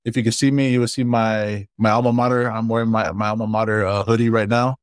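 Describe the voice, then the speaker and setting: A man in his forties from Hawaii, a startup founder chatting casually and warmly about his life as an interview guest. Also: fairly low pitch, little pitch movement, fast, no echo, clean studio sound.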